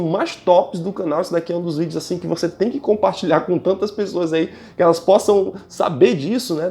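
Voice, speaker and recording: A young Brazilian man with a Brazilian Portuguese accent gives exam-prep tips straight to camera. He talks fast and loosely, full of slang and direct asides to the viewer. His voice sits a little high and has a gravelly edge. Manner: animated, slangy and direct, addresses viewers as "gente" and "cara". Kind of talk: casual video lesson.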